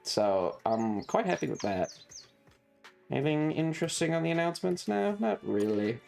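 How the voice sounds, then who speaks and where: A livestreamer chatting casually while gaming, rambling and halting, with a wry, sarcastic manner. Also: medium pitch, sing-song, vocal fry, a little mellow. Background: music.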